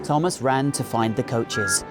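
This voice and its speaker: A man in his forties with a British accent, narrating a children's story in an animated reading style. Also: mid-range voice, measured pace, over loud music. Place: small indoor recording studio.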